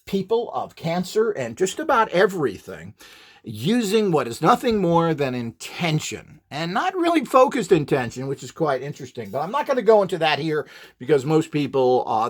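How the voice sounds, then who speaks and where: Animated, higher-pitched man lecturing informally. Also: moderate pace, slightly rough. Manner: opinionated, blunt, sarcastic asides. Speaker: instructor presenting a build tutorial.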